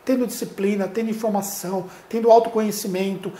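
A middle-aged Brazilian-accented man speaks earnestly to camera, coaching would-be speakers and gesturing as he talks. His voice sits fairly high for a man, with a mellow, warm tone. The lapel mic picks up a noticeable roomy echo from the studio. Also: steady tone, fast. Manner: earnest, addresses viewer directly, gestures a lot while talking. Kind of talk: direct-to-camera advice video. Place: indoor studio with plain light backdrop.

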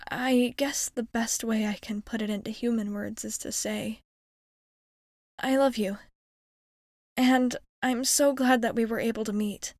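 A woman's voice: a little high, no echo, studio quality, close to an everyday voice. A woman voicing a shy, sweet young alien girl in a hesitant, earnest, intimate ASMR roleplay monologue. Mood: tender, confessing.

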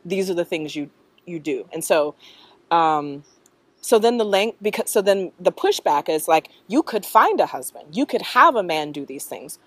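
A middle-aged American woman answering interview questions reflectively, in a thoughtful, halting manner that often checks in with the listener. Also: fairly low pitch, breathy edge, no room sound. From North Carolina, US. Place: outdoors in a leafy park.

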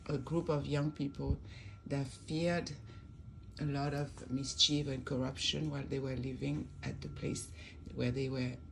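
A speaker who recites scripture and then translates it in a measured reading, stressing key words, at a desk indoors with a phone recording. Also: medium pitch, gravelly, a little hiss or hum, rough recording. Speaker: religious storyteller.

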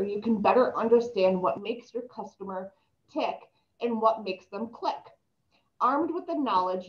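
Young woman presenter on a video call, slight lisp, reads slides aloud with stumbles, somewhat dull laptop-mic sound. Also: medium pitch. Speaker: presenter reading an article aloud.

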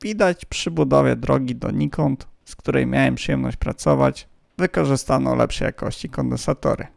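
A man's voice: medium pitch, normal speed, vocal fry, darkish tone, no room sound. A man who hosts an amateur radio vlog, narrating from a script.

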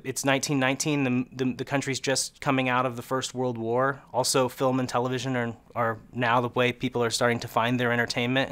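A man in his thirties with a mid-range voice speaks earnestly in a seated press interview. He explains things step by step and fills his pauses with ums and you-knows. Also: mid-range voice, fairly bright tone. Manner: earnest, explanatory, with frequent fillers. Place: film set interview, indoors.

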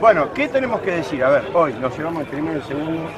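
A man with a Rioplatense Spanish accent, joking and teasing as he hosts, his voice high and swinging up and down with energy. He is recorded outdoors, and a loud crowd chatters all around him. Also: sing-song, racing. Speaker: fishing vlogger interviewing kayak anglers. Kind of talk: casual on-camera chat at a tournament.

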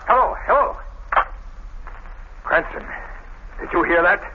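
An actor playing a flustered, indignant police commissioner, agitated and blustering, in an old radio drama. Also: male voice, high-pitched, animated, takes it slowly. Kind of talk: 1940s radio drama acting. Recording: very noisy, tinny old radio recording.

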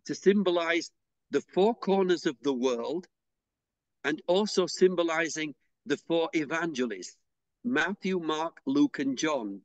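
Soft-spoken man explaining a craft. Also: on the high side, unhurried, no room sound, muffled recording. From England. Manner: hesitant, with fillers and restarts. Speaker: pysanky artist and interviewee. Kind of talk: unhurried explanatory interview talk.

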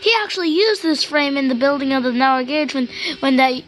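A female storyteller with a halting, stammering delivery, improvising a tale for a train cartoon. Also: high-pitched, a bit bright. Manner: halting, stammering, repeats and restarts words. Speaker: storyteller and host of a hobby cartoon series.

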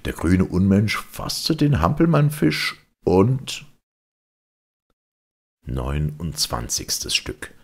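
A man narrating a children's audiobook as an expressive storyteller. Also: low-pitched, extremely slow, creaky, long pauses, slightly rough.

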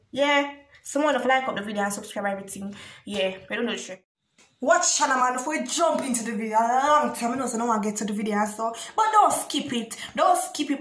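A woman's voice: on the high side, a bit bright. A young adult woman with a Jamaican accent chatting casually to camera as a video blogger. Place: small bare indoor room. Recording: echoey small room.

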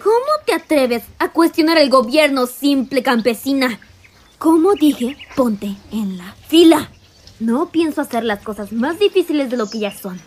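A woman voicing a haughty, harsh female animal army commander in an animated fan dub, speaking with contempt. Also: fairly high pitch, fairly quick, hardly any pauses, a little hiss or hum, close to an everyday voice. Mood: menacing.

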